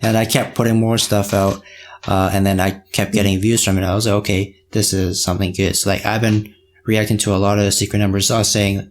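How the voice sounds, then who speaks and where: Low-voiced man chatting casually. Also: flat delivery, brisk. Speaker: podcast host, K-pop reaction video creator. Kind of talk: casual chat.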